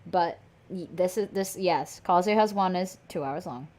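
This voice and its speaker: Young female streamer, rambly, jokey gameplay chat, slightly low voice. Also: normal speed, a little mellow. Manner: chatty, joking, rambling. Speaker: gaming streamer. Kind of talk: casual gameplay commentary.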